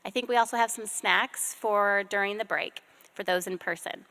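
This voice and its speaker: A woman moderating a live event, briskly handing out questions and announcing the next session. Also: medium pitch, steady tone, bright, forceful tone. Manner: brisk, organizing. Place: conference room with in-person and online audience.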